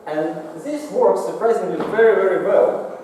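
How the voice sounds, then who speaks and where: A man giving a technical lecture with slides in a lecture room, speaking halting, non-native English. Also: high voice, warm tone. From Singapore. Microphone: far from the mouth, room pickup. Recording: echoey hall, distant mic, faint noise.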